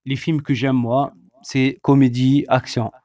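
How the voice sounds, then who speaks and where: A man's voice: medium pitch, little pitch movement, a little slow, darkish tone, no echo. A man speaks hesitantly, filling gaps with fillers as he counts off his points. His mid-range voice sounds close and dry. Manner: hesitant, many "euh" fillers, listing points. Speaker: co-host. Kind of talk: casual couple chat.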